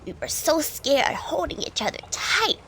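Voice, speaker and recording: A woman playing a kindly, devout elderly village neighbor in a children's audio drama, telling stories gently with hushed phrases. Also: sits high, big pitch swings, measured pace, whispered, heavily acted, rough recording. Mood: nostalgic, warm.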